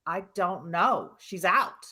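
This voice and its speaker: A woman's voice: fairly low pitch, expressive, slow, bright, forceful tone, slightly rough, heavily acted. A woman playing a wisecracking, indifferent woman on the telephone, offhand and slangy, in a vintage-style radio drama.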